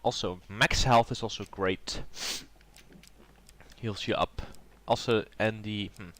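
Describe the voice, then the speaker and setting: A man's voice: on the low side, fairly even intonation, slow, a bit bright. Nasal man with a cold. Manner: thinking aloud, hesitant with ums. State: has a cold. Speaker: let's play host. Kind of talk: casual gameplay commentary.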